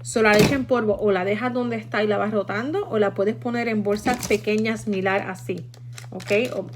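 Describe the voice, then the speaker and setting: Puerto rican lady, spanish native, chatting and teaching on a live, mid pitched voice, noisy room behind her. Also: fairly even intonation, brisk. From Puerto Rico. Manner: chatty, instructive, often tags sentences with "okay?". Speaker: livestream host teaching food storage. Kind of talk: casual livestream chat and demonstration.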